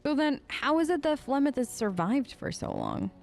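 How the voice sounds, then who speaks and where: A woman's voice: sits high, very animated, moderate pace, vocal fry, darkish tone, faint music behind. A woman streaming a game playthrough, improvising role-play in an informal, conversational way. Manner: conversational, informal, reacting in character.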